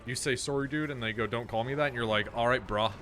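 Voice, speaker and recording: A man chatting with friends on an online voice call while gaming, joking in a wry way and making animated comparisons. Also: medium pitch, quick pace, music in the background. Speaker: gamer on a group voice call. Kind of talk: casual chat while gaming.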